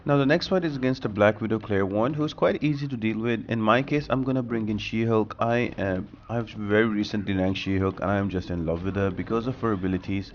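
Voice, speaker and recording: A man's voice: lowish voice, fairly quick, hardly any pauses, highs cut off. A man giving a casual game walkthrough, explaining things directly to viewers as the host of a guide.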